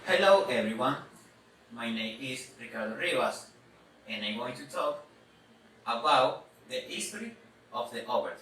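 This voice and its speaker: Bright-voiced man reading a presentation, echoey. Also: mid-range voice, lively intonation. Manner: halting, careful reading in choppy phrases. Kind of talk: scripted history presentation read aloud. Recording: echoey, distant mic, background noise.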